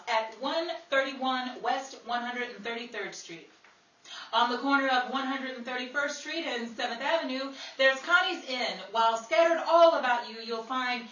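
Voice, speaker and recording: Sassy 1930s radio newswoman, female performer, theatrical, bright, roomy distant sound. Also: high-pitched. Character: sassy newswoman Betty Gray of 1930s New York radio show. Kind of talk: 1930s radio comedy acting and scripted narration. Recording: roomy, distant mic with background hiss.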